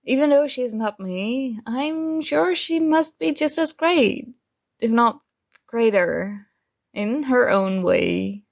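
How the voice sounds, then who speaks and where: A woman playing a secretly jealous, teasing roommate in an intimate one-sided ASMR roleplay, with hesitant pauses. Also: fairly high pitch, lively intonation, gravelly, full character voice. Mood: playful teasing. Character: secretly jealous female roommate, teasing, hurt but feigning indifference.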